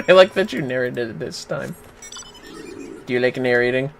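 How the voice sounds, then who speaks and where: A man's voice: mid-range voice, normal speed, vocal fry, soft background music, poor audio. A man streaming a game, chatting casually. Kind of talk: casual livestream chat while gaming.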